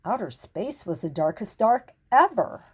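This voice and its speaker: Slow, expressive older woman reading a storybook. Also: medium pitch, husky. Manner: deliberate, dramatic emphasis and pauses. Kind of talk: expressive picture book narration.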